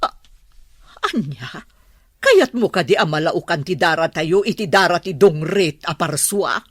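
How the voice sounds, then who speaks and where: An actress playing a snobbish, indignant wealthy mother in an Ilocano radio drama, with a husky voice. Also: on the low side, animated, unhurried, no room sound, studio quality. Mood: haughty, indignant.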